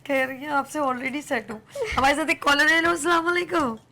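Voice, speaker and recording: Pakistani lady morning show host, high voice, teasing and chatty, bit of a sore throat. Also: a little fast, sharp tone. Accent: Pakistani Urdu. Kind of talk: casual live TV chat.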